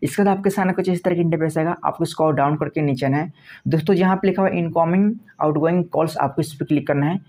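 A man's voice: a little high, quick pace, darkish tone. A man presenting a step-by-step phone tutorial in a repetitive, instructional manner. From India.

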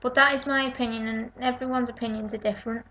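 A woman's voice: a little high, fairly even intonation, quick pace, bright, forceful tone, rough recording. A woman in her twenties with a Lancashire-type northern English accent, tired and rambling in a casual webcam video response from her kitchen. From North West England. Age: 20s. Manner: rambling, self-deprecating. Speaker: vlogger. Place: kitchen at home, indoors. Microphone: webcam built-in mic.

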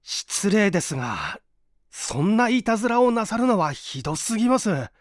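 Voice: medium pitch, big pitch swings, normal speed, dry recording, clean studio sound, voice pushed up, lightly acted. A performer voicing an earnest, indignant young man in a storybook reading, formal, polite and emphatic. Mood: indignant, heated. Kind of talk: dramatized literary reading.

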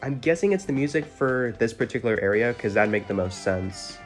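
A man's voice: mid-range voice, expressive, brisk. A man giving casual, slangy commentary over gameplay as a gaming video host. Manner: informal, slangy. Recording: music behind the voice.

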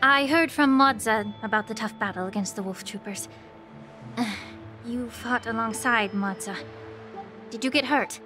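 A woman playing a shrewd, poised healer in an anime game, polished and gently commanding. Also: fairly high pitch, normal speed, over music, lightly acted. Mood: composed, concerned.

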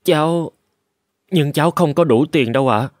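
An actor playing a humble, polite young jobseeker from the countryside in a cartoon, hesitant and earnest. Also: male voice, fairly high pitch, moderate pace, dry recording, studio quality, close to an everyday voice. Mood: wary, hesitant. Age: young adult. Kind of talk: animated moral-tale voice acting. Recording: prominent music under the voice.

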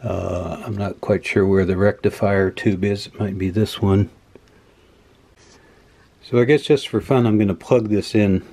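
A man with a low-pitched voice talks casually through a hands-on demonstration. He is measured and matter-of-fact, explaining things off the cuff as the host of a workbench video. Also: darkish tone, a little hiss or hum. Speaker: host of an electronics teardown video. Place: indoor workbench.

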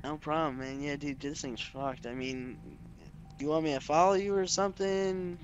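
Higher-voiced man in casual game chat. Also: normal speed, muffled recording, soft background music. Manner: laid-back slangy, says dude and man often. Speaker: online roleplay player. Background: game ambience and vehicle sounds.

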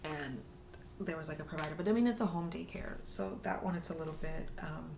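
Gravelly-voiced young woman, roomy echo. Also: sits low, a little fast. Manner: relaxed, rambling, acts out callers in quotes. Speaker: guest, former social services worker. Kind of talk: casual chat. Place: small room. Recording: echoey room with clear background hiss.

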